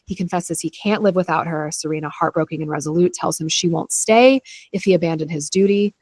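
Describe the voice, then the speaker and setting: Low-voiced woman podcaster, close and dry. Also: fairly quick, a little mellow, no echo. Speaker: book club podcast host. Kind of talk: casual chat with read-aloud chapter summaries.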